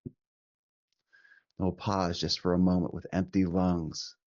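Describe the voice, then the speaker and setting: A man leading a guided meditation as a teacher, speaking gently and soothingly with long pauses between short instructions. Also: deep voice, flat delivery, no echo, lo-fi sound.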